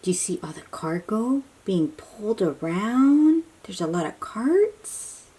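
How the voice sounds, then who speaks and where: A woman reading a picture book aloud to young children in an animated, breathy, questioning way, drawing out her words. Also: mid-range voice, rough recording. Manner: animated, drawn-out, questioning delivery aimed at little children. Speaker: children's read-aloud host.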